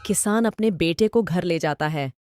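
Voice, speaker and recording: Woman narrator, lowish, calm storyteller. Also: normal speed, no room sound, soft background music.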